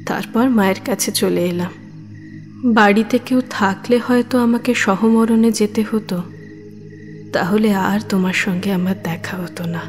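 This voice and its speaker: A woman playing a gentle, brave young village widow in an audio drama, speaking with earnest feeling. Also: mid-range voice, unhurried, long reverb tail, close to an everyday voice. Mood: sorrowful. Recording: music under the voice.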